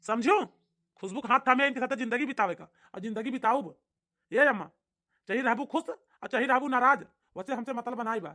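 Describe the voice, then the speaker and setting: A woman voicing an earnest, defiant young man in a cartoon, talking loudly and emphatically with an Awadhi accent. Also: a little high, fairly quick. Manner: loud and emphatic. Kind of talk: cartoon voice acting.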